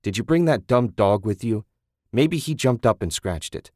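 Male reader doing a curt, accusing husband, low voice, dry read. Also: lively intonation, moderate pace, a little mellow, no room sound. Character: hot-tempered, accusing husband obsessed with his car.

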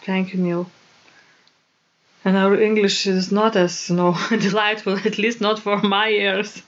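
A woman from Lithuania with a Lithuanian accent, co-hosting a podcast in casual chat, modest and quick to laugh. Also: medium pitch, level tone, lo-fi sound. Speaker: podcast co-host.

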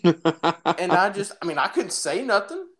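A man's voice: high voice, big pitch swings, a little slow, a bit bright. A young man casually telling an anecdote in an informal, jokey, exaggerating way. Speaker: storyteller in a podcast-style phone chat.

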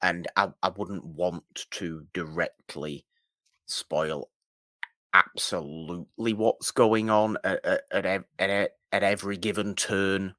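A man co-hosting a film podcast, casually giving his opinions in a halting but emphatic way. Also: low voice, steady tone, bright, forceful tone, dry recording, clean studio sound. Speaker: podcast co-host.

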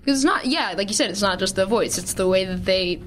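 A woman's voice: medium pitch, lively intonation, fast, slight background noise. A woman hosting a podcast, chatting informally with frequent chuckles and words of agreement. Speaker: podcast host. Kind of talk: casual chat.

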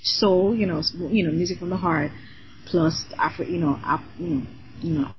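An energetic woman of Nigerian heritage, a singer and designer, chatting freely as a podcast guest with many fillers. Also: lowish voice, moderate pace, dull audio, noisy background. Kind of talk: casual interview chat.

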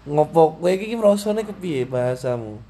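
A man's voice: a little high, lively intonation, normal speed, warm tone, slight background noise. Young man hosting a podcast. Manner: casual, probing questions, mixing languages. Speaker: podcast host. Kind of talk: casual interview chat.

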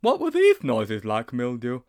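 A man's voice: wildly swooping pitch, a little slow, a little mellow. A man voicing a nervous, timid schoolboy who lisps his r's as w's, in an audiobook reading. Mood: anxious, eager. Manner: lisps r as w, squeaky and breathless. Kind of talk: audiobook character voice.

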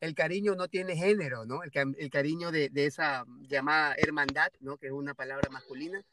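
A man's voice: on the high side, fairly quick, bright, forceful tone. A man from Ecuador with a Guayaquil accent, hosting a podcast in a casual conversational way, hesitant and full of fillers and restarts. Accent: Guayaquil Ecuadorian Spanish. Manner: hesitant, many fillers and restarts, tag questions. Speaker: podcast host.

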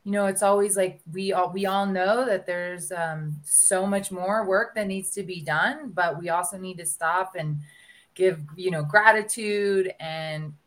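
A woman's voice: lowish voice, steady tone, unhurried. A woman speaking as a panel guest, hesitant and full of fillers as she thinks aloud. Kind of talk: panel discussion.

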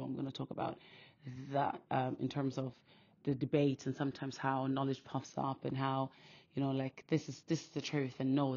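Fast-talking woman with a gravelly, flat voice. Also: rumbling low. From Austria. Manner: earnest, talks fast with many restarts. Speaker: podcast host. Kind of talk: casual faith monologue. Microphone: phone. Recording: dull phone recording.

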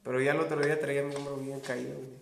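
A man's voice: mid-range voice, little pitch movement, very fast, gravelly. A young man with a Mexican accent telling stories in a joking, slangy way. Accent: Mexican Spanish. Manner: joking, slangy, laughs often. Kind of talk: casual storytelling chat. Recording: echoey room with faint noise.

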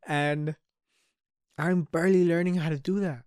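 A man in his early twenties talks through his thoughts on a solo podcast, candid and sweary, often trailing off and starting over. His voice is smooth and clear, recorded dry and close. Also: fairly high pitch, fairly even intonation, moderate pace, darkish tone, no echo. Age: 20s. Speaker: podcast host. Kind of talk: solo reflective podcast monologue.

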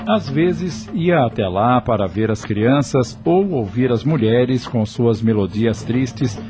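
A man with a Brazilian accent giving scripted dramatic narration for a radio serial. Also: lowish voice.

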